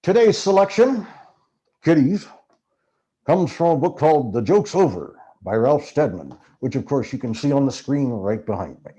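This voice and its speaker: Theatrical man with very animated pitch. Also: normal speed, slightly rough. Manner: theatrical, expressive delivery. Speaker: amateur reader and voice actor. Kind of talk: dramatic book reading to camera.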